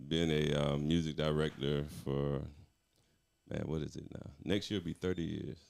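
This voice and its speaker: Very deep gravelly-voiced middle-aged man. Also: normal speed. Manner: relaxed, reflective, frequent restarts and chuckles. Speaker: guest, music director. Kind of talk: casual interview talk.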